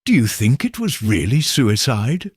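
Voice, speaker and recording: A man's voice: medium pitch, sing-song, unhurried, husky, no echo, clean studio sound. A man voicing a shaken, downcast young woman in an audiobook, distressed and sympathetic. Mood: shaken, pitying. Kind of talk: audiobook character dialogue.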